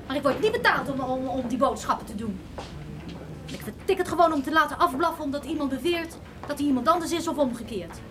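A woman's voice: high voice, lively intonation, fast. An actress playing a curt, defensive young female cashier in a radio play, on a noisy old recording. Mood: indignant.

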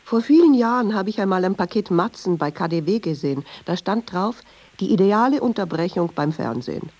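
A woman's voice: medium pitch, expressive, fairly quick, a little mellow, lightly acted. An actress playing a candid, uneasy middle-aged woman in a radio drama, speaking reflectively in short, observant clauses. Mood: questioning, wry. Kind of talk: radio drama dialogue and inner monologue.

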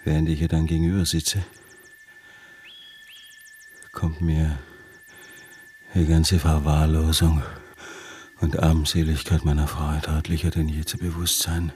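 An actor playing a weary, obsessive, desperate husband, narrating a literary radio drama in halting, deliberate phrases. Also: male voice, rumbling low, level tone, darkish tone, slight background noise. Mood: weary, bleak. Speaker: actor narrating as lead character.

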